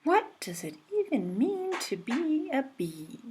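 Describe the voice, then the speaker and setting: A woman's voice: sits high, wildly swooping pitch, slow pace, noisy background, voice pushed up, full character voice. A woman voicing an earnest, wide-eyed young honeybee girl from a storybook, with a singsong delivery. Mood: puzzled.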